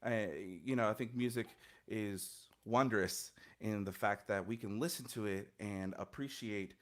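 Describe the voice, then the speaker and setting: A man's voice: fairly low pitch, a little slow. A man in his thirties, a guest musician, answering interview questions earnestly and reflectively over a video call from a home studio mic, with many fillers and restarts. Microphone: studio mic on stand, headphones, video call.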